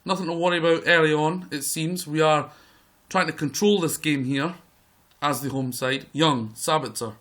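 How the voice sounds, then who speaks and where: A man with a croaky voice giving excitable live play-by-play commentary over a football management game. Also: a little high, normal speed. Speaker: gaming video host.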